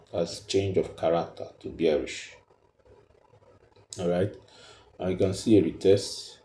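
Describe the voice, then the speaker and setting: Slow, deep, very mellow man teaching. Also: reverberant. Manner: halting, repeats himself as he points things out. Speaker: trading tutor. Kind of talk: explanatory screen tutorial, step by step.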